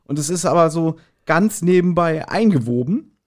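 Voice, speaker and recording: A man's voice: fairly high pitch, expressive, moderate pace. A man with speech co-hosts a podcast, explaining his points casually and colloquially and checking for agreement. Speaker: podcast co-host.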